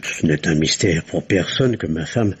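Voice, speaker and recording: An actor playing a doctor questioned by the police, who speaks in a hesitant, guarded way, in the manner of a 1950s radio drama. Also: male voice, sits low, a little slow, husky, heavily acted. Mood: confessing. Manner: hesitant, guarded, then confessional. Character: nervous doctor questioned as a suspect, man. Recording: dull old radio recording.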